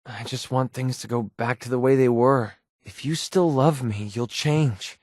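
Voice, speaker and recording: A man's voice: medium pitch, steady tone, a little slow, darkish tone, dry recording, lightly acted. Man acting a guarded, plain-spoken mercenary, close and dry. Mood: earnest, troubled. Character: guarded, wounded young male mercenary, sarcastic, quick-tempered but loyal. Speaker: companion character in a video game. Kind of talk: naturalistic video game voice acting.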